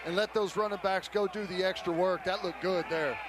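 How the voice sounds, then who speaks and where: An American man with a high voice works as color commentator on a football radio broadcast. His remarks are short, informal and analytical, with clear noise around him. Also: fairly even intonation, brisk. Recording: clearly noisy live sports broadcast.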